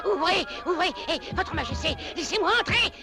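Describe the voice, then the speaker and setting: A very high, squeaky little cartoon man, swooping wildly as he stammers and blusters. He is a cowardly royal servant in an old animated film, with loud orchestral-style music behind him. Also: normal speed. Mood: panicked, indignant. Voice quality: shrill, squeaky. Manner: stammering, pleading, indignant bluster. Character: tiny excitable male Lilliputian royal servant, cowardly, blustering. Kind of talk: 1930s animated film dub.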